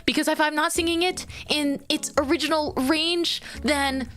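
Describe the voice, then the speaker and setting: A woman's voice: sits high, steady tone, normal speed, fairly bright tone, faint music behind. A young woman streamer chatting casually with her viewers as she draws, rambling with fillers and frequent giggles. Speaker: streamer chatting with chat while drawing. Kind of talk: casual live-stream chat.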